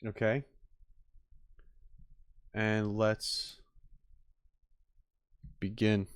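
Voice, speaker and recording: A man chatting casually on a livestream as he reacts to game trailers, rambling in an animated, self-deprecating way. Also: on the low side, extremely slow, clear, resonant tone, dry recording.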